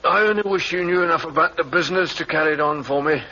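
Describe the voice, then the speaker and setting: Husky old shopkeeper, gentle but sly, bright-ish tone, lo-fi old radio. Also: male voice, a little high, fast. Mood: knowing. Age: older. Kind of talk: 1950s radio drama acting. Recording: dull lo-fi old radio recording.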